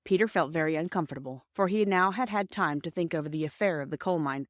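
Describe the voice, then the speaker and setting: A woman's voice: fairly low pitch, quick pace, no echo. Woman narrating a storybook, very dry sound. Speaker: audiobook reader.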